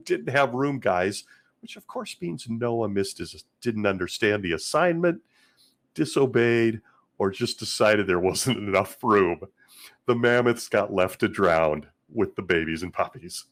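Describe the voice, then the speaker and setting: A man hosting a casual commentary vlog, his voice scratchy and hoarse from illness, talking in a wry, sarcastic way with frequent chuckles. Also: mid-range voice, animated, a little slow. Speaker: host.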